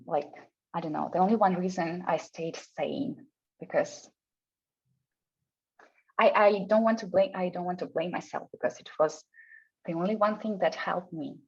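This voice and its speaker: A woman from Russia with a Russian accent, English her second language, speaking as a guest in a casual interview, often pausing and restarting her phrases. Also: lowish voice, vocal fry, breathy edge, muffled recording. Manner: hesitant, restarts phrases, non-native grammar.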